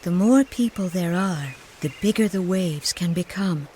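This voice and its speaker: An actress playing a gentle, wise mother in a game cutscene, patiently and tenderly explaining things to her young child. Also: on the low side, a little slow, a little hiss or hum, close to an everyday voice. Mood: grave, gentle. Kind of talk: scripted game cutscene dialogue.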